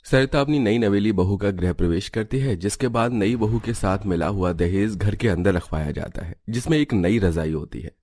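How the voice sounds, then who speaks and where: A male narrator tells a scripted cartoon family story. His voice is on the low side, recorded dry without room sound. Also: moderate pace, hardly any pauses, a little mellow, no room sound.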